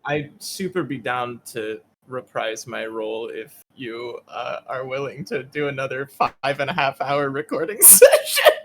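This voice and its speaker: A voice actor telling anecdotes in a casual panel chat, halting, giggly and self-deprecating. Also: mid-range voice, fairly bright tone, a little hiss or hum.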